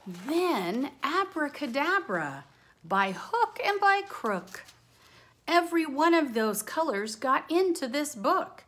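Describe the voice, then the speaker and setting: A woman reading a picture book aloud with expressive, dramatic emphasis, lingering on words and adding casual asides. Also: high voice, slow pace. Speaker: read-aloud storyteller.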